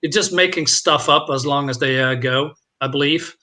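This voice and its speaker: Bright, higher-voiced man chatting haltingly. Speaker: film discussion panelist. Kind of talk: casual chat.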